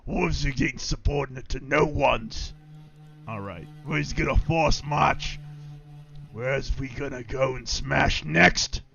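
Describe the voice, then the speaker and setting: A man role-playing a boastful, brutish male orc warlord on a game stream, with a rough, gravelly throat and rowdy, crude bellowing. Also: mid-range voice, takes it slowly, bright, forceful tone, muffled recording, soft background music, exaggerated voice.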